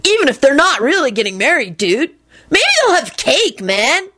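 Audiobook character, female reader doing slacker dude, whiny, slangy, happy-go-lucky, high lively pitch. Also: full character voice. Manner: slangy, whining, drawn-out "man".

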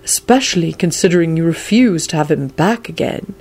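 A smooth, deferential manservant from a storybook, played by a woman, politely cheeky and dry. His speech is formal and moves freely up and down in pitch. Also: animated, brisk, darkish tone, exaggerated voice. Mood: smooth, pleasant. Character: smooth, dryly witty longtime manservant to a lord.

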